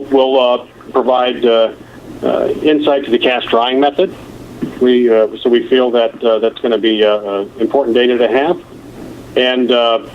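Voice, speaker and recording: A man with a slightly low voice presents technical material on a conference call. He explains in a halting way, like an engineer walking through a plan. The line is muffled, with faint noise. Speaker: nuclear plant engineer presenting. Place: conference call over the phone.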